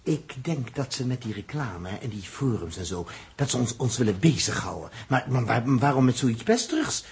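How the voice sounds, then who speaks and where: An actor playing an informal, grumbling spaceship technician in a colloquial 1960s radio drama style. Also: male voice, mid-range voice, big pitch swings, normal speed, few pauses, a little mellow, slightly rough, exaggerated voice. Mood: grumbling, casual.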